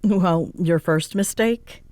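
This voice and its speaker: A woman's voice: lowish voice, takes it slowly. A young woman playing a grumpy, teasing tsundere roommate in an audio roleplay, with a sarcastic, huffy delivery. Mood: teasing, amused. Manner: sarcastic, huffy, sighing exasperation with soft spots. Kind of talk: roleplay audio drama, conversational acting.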